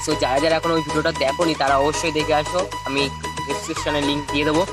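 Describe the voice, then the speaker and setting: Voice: medium pitch, little pitch movement, very fast, loud music behind the voice. A young adult host giving a casual vlog introduction to prank videos.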